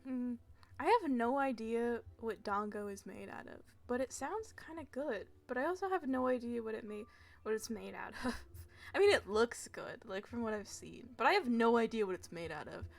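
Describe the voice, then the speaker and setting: A woman's voice: on the high side, animated, moderate pace, creaky. A young American woman streaming a video game, chatting casually in a giggly, rambling, self-deprecating way. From United States. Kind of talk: casual gameplay commentary.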